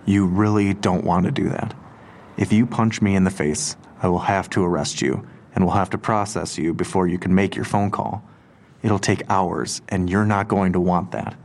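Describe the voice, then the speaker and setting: Deep-voiced, condescending police detective. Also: male voice. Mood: patronizing, dry. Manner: deliberate, patronizing, deadpan. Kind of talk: audio drama dialogue.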